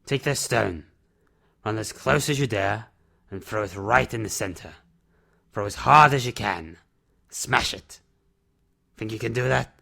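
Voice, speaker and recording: Man as a gravelly, gruff older recluse. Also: on the low side, measured pace, pauses often, pushed and bright, full character voice. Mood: commanding. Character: gruff, solemn older man, reclusive roadside animal burier. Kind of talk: amateur audio drama acting.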